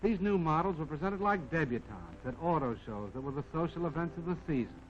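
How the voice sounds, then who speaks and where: A man's voice: fairly high pitch, expressive, a little fast, slight background noise, close to an everyday voice. A man voicing a modest, plucky army jeep that tells its own life story in a folksy, wry 1940s film narration. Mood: wry, reminiscing. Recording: dull vintage 1940s film soundtrack, music behind.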